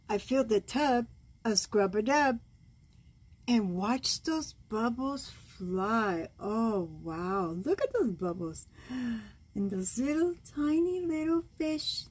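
An older woman in her sixties reads a children's bedtime story at home. Her voice sits fairly high for a woman and has a husky, worn grain. She goes very slowly, expressive and gentle, adding cooing comments on the pictures. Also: poor audio. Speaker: grandmother reading storybooks to children. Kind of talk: read-aloud bedtime story with side comments. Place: small room at home, indoors.

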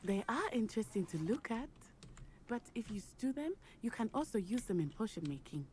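A woman's voice: on the high side, big pitch swings, normal speed, a little mellow, slight background noise. An actress playing a friendly, adventurous teenage witch in a video game, chatty and precise in her wording. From Africa.

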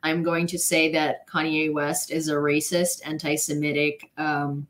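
A woman's voice: deep voice, level tone, a little slow, a bit bright. Blunt young woman vlogging news. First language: Ukrainian. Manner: blunt, opinionated, with frequent fillers and restarts. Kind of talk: casual livestream commentary. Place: small bedroom at home.

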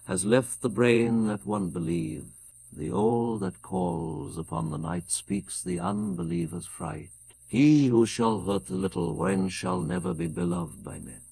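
Older British male reader, very creaky voice, lively rise and fall, for solemn, declamatory verse recitation. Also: a little high. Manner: measured, declamatory. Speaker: poetry reader. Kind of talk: solemn dramatic poetry recitation.

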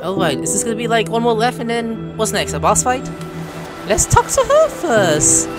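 A man giving excitable, joking commentary while playing a story game. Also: sits high, moderate pace, loud music behind the voice. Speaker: gaming streamer. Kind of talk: casual gameplay commentary.

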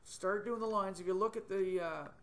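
Higher-voiced man explaining software steps. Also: moderate pace. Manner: thinking aloud, many ums and self-corrections. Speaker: software tutor. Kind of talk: screen-recorded software tutorial. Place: small indoor room, webcam in corner of screen.

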